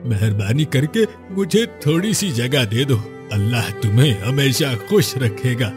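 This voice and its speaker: A man's voice: mid-range voice, lively intonation, measured pace, music in the background, close to an everyday voice. A man voicing a desperate, feverish homeless villager begging for shelter in a cartoon story. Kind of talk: cartoon moral story dialogue.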